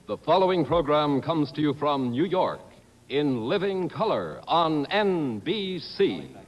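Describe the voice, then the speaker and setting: A man's voice: on the high side, sing-song, a little slow. A man reading a formal scripted network announcement from archival television. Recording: dull archival TV audio.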